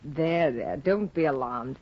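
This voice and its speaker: An actress playing a proud, scheming young wife in a 1950s radio drama, with a husky, commanding, scornful delivery. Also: female voice, low voice, expressive, takes it slowly, heavily acted. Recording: dull lo-fi 1950 radio recording.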